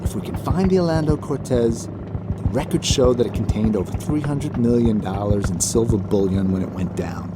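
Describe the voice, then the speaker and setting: An actor playing a hot-headed, skeptical salvage skipper, blunt and sarcastic, in an audio drama. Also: male voice, medium pitch, moderate pace, very noisy, natural voice. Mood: exasperated.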